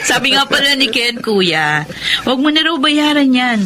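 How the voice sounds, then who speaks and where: A woman's voice: sits high, big pitch swings, measured pace, crowd noise. A woman playing a caring, earnest younger sister and mother in a radio drama, speaking expressively. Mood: kind, generous.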